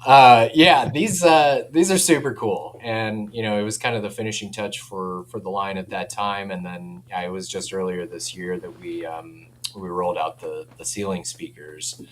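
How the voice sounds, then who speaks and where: Low-voiced man chatting, noisy humming background. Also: steady tone, normal speed, hardly any pauses. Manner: chuckling, enthusiastic, informal. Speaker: speaker company representative giving a product demo. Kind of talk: casual product chat. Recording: clear background noise with hum.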